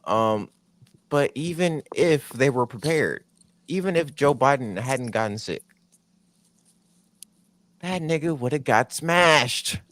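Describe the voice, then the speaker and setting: A man's voice: mid-range voice, animated, takes it slowly, pauses often, fairly bright tone, no room sound. A man co-hosting a podcast, talking bluntly with lots of slang in casual chat. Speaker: podcast co-host.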